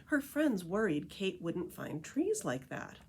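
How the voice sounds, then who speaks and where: Woman reading expressively, lower-pitched, swooping intonation. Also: big pitch swings, slow, a little mellow, a little hiss or hum. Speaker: librarian reading a picture book aloud. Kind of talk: children's read-aloud storytelling.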